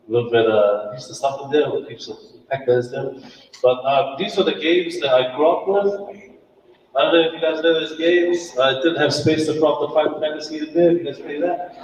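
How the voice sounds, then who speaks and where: A man's voice: a little high, dull audio. A man gives a casual, chatty talk as a guest speaker, joking about himself. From New Zealand. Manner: chatty, laughing, self-mocking. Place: event hall. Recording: distant, echoey hall with some noise.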